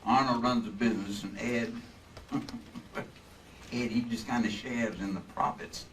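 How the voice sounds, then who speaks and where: An actor playing a hospitable, excitable, bossy insurance man in an old-time radio drama, hemming and repeating himself. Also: fairly low pitch, a little slow, close to an everyday voice. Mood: genial, explanatory. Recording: distant stage mics, some room noise.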